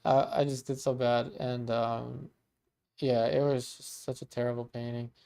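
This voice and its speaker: A man's voice: medium pitch, a little slow, creaky, smooth. A man in his thirties from Maryland, teaching painting on a casual livestream and chatting with viewers. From Maryland, USA. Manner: relaxed and chatty, many ums and restarts, warm thanks to viewers. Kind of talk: casual livestream chat, reading viewer comments.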